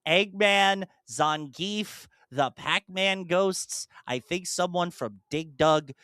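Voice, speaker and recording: A podcast host chatting casually in an animated, rambling way, slipping into imagined dialogue. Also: mid-range voice, slow pace, smooth, no echo, studio quality. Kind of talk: casual chat, enthusiastic monologue about films.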